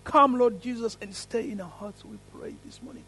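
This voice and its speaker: A man with an African accent leading a church service, praying and then telling a story in an earnest, lively way. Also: very high voice, normal speed, slightly rough, faint music behind. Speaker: preacher. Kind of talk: sermon with prayer and story.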